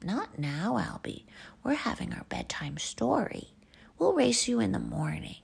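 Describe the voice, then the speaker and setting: A woman voicing friendly cheetahs in a children's bedtime story, gently putting off a playmate. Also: medium pitch, huge pitch swings, a little slow, gravelly, breathy edge, pitched above the performer's own voice, exaggerated voice. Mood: gentle, declining.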